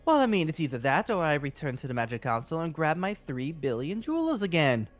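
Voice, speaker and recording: A man's voice: on the high side, very animated, brisk. A man playing a genial, rambling guild master mage in an improvised game roleplay, speaking politely and hesitantly. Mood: coaxing. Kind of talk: improvised Minecraft roleplay acting.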